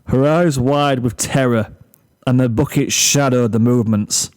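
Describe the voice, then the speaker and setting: A male narrator reads a scripted comic tale. His timbre is mellow and relaxed, and his delivery takes its time at a slow pace. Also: mid-range voice, smooth. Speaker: story narrator.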